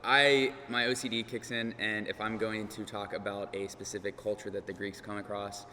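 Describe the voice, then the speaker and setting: A man's voice: lowish voice, little pitch movement, moderate pace, sharp tone. A man and podcast host speaking casually on a conference panel, chatty and self-deprecating. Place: large conference hall. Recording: hall reverb, faint room noise.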